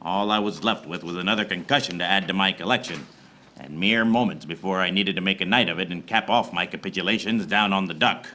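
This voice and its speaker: A man's voice: low voice, a little fast. A man playing a wry, weary hard-boiled detective, narrating a film noir radio comedy on stage. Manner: deadpan wordplay, theatrical. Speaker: lead actor in live comedy radio serial. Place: small theater stage, live audience. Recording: noisy live stage recording with clicks.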